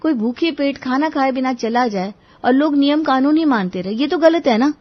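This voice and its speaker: Woman as an exasperated young wife, raised pitch. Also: animated, moderate pace, muffled recording. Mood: complaining. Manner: complaining, exasperated. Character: frustrated young daughter-in-law complaining to her husband. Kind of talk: Hindi moral-story audio drama.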